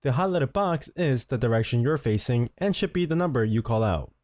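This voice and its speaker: A man's voice: medium pitch, animated, a little fast, very noisy. A man giving scripted instructional narration as the host of a tutorial, speaking close to the mic.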